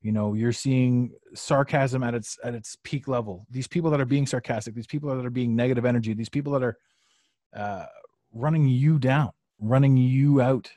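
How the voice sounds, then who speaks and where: A man's voice: mid-range voice, fairly even intonation, normal speed, mellow, no echo. Rambling male podcast host, dry sound. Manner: rambling, repeats himself, many fillers. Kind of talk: casual live podcast chat. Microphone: podcast mic on a live stream.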